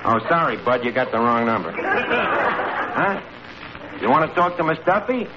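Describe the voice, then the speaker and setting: An actor playing a breezy, mock-learned New York tavern manager with mangled grammar, in 1940s radio comedy style. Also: male voice, on the high side, very animated, measured pace, over a noisy crowd. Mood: brisk, scheming. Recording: noisy, muffled old radio broadcast, audience.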